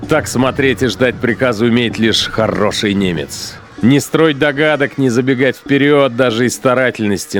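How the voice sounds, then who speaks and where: An actor playing a cold, fanatical, contemptuous Nazi SS commander in the interior monologue of a radio play. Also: male voice, medium pitch, natural voice. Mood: proud, resolute. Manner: self-assured, declamatory, scornful. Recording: studio radio drama with music behind. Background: music.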